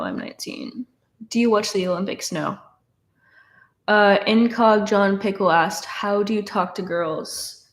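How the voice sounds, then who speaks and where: A woman's voice: medium pitch, vocal fry. Nineteen-year-old woman chatting in echoey room. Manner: casual, chatty, many fillers and restarts. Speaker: streamer answering chat questions. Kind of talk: casual live stream Q&A. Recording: roomy echo.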